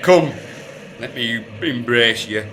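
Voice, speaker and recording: An actor playing a lusty, boozy duke in a period comedy radio play, pompous and exclaiming. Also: male voice, medium pitch, takes it slowly, fairly bright tone, slight background noise. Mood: boisterous, boastful. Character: lusty, blustering, boozy duke on his wedding night.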